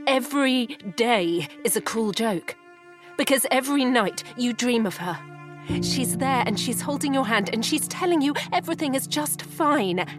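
An actress playing a grieving, bitter wife and lapsed writer in a 1930s noir audio drama, articulate and wry. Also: female voice, sits high. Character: grieving, bitter English writer wife in 1937, sharp-tongued. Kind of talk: scripted gothic noir audio drama acting.

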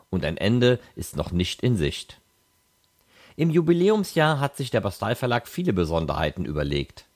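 A man hosts a podcast with a low voice. He delivers scripted narration with lively, varied intonation, moving freely up and down in pitch. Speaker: podcast host.

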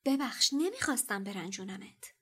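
A woman's voice: fairly high pitch, very animated, breathy edge. A woman voicing a polite, slightly awkward teenage boy wizard in a storybook reading. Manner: hesitant, polite.

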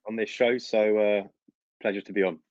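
A young man with a London accent and a very low, deep voice talks through his work as a guest journalist, measured and explanatory, with small laughs. The sound is dull, dry and lo-fi, as if from a video call. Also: fairly even intonation, darkish tone, dry recording. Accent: London English. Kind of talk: casual interview chat.

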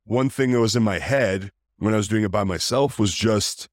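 A man who hosts a podcast, talking casually in a deep voice, rambling with fillers and restarts. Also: normal speed, no echo, studio quality. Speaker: podcast host. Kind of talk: casual interview chat.

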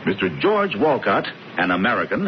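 Radio play casting: brash, bossy schemer of a brother, breezy and theatrical, swinging pitch, dull slightly noisy recording. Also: male voice, very animated, normal speed. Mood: grandly pleased. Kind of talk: radio drama acting.

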